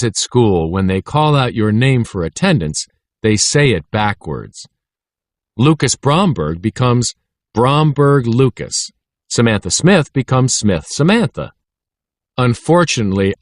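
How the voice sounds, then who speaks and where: A man reading a children's story aloud as an audiobook narrator, with expressive delivery. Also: medium pitch, no room sound, clean studio sound. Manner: expressive, voices dialogue between schoolboys. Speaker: audiobook reader.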